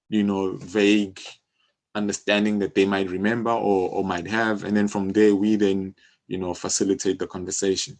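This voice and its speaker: A man in his thirties with a South African accent, explaining his work informally as an interview guest, often saying "you know". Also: fairly low pitch. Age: 30s. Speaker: interview guest, music publisher. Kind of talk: conversational interview.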